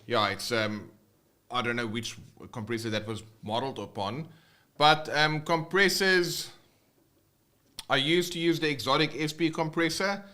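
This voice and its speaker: Casual South African male guitar teacher, bright tone, loose and rambling on a livestream. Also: medium pitch, a little slow. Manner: loose and rambling, many fillers and restarts.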